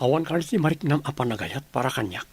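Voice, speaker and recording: A troubled young man in an Ilocano Filipino radio drama, played by a male actor. His mid-range voice is husky, and he speaks earnestly, like someone burdened by relationship woes. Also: mid-range voice, animated, fast talker. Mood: hurt, pleading.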